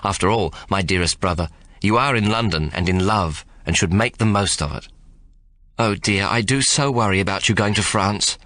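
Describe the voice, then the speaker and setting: A man's voice: fairly low pitch, normal speed, a bit bright, dry recording, close to an everyday voice. A man reading the letter of a fond, fretful older sister in a storybook reading. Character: worried, bossy older sister writing a letter.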